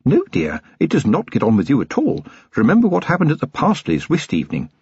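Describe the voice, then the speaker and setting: A man's voice: a little high, wildly swooping pitch, brisk, a little mellow, husky, no echo, voice pushed up, heavily acted. A man voicing the colonel's stern older wife, cold, clipped and condescending. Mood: icy. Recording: dry, slightly dull recording.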